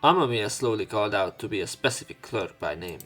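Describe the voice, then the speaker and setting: A man's voice: fairly low pitch, expressive, a little fast, a bit bright. A man hosting a let's play, reading a visual novel aloud with casual commentary, often stumbling over words and correcting himself. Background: game music behind the voice.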